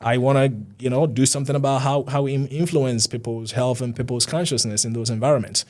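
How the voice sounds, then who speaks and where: A man in his forties talks quickly and earnestly, circling back to repeat his points. His voice sits at an ordinary middle pitch for a man. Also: steady tone, a little mellow. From Ghana. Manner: earnest, rapid, repeats himself. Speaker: physician guest. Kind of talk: casual interview talk.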